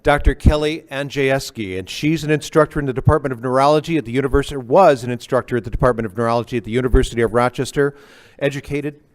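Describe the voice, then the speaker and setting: A man's voice: mid-range voice, fast. Man introducing a speaker, off-mic. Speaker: event host introducing the speaker.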